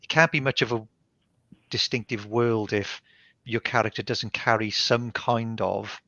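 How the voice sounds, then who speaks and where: A man's voice: mid-range voice, fairly even intonation, measured pace, bright, forceful tone, no room sound, lo-fi sound. A man with a Welsh accent from Barry in South Wales, an author explaining his choices in a reflective, restarting way over online call audio. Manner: reflective, with frequent restarts and ums. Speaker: author being interviewed. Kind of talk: thoughtful conversational explanation.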